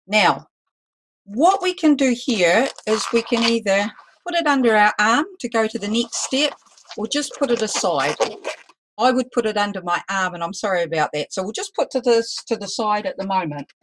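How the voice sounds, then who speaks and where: A woman teaching a live balloon-twisting lesson, talking loudly and chattily with some self-deprecating humor. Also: medium pitch, animated, normal speed, a little hiss or hum, rough recording. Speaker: balloon artist teaching a workshop. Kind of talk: live online demonstration lesson.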